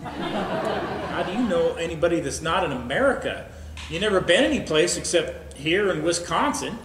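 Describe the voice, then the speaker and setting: A man plays a skeptical, plain-spoken bar buddy in a stage comedy. He has a high, forceful voice and a folksy, teasing manner. It is recorded in an echoey room with faint noise. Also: lively intonation, moderate pace.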